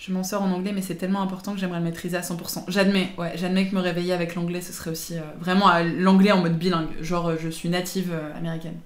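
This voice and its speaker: A woman's voice: on the low side, little pitch movement, racing, few pauses, reverberant, soft background music. A young adult woman chatting casually with her livestream viewers in informal, slangy, teasing speech. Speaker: live streamer chatting with viewers.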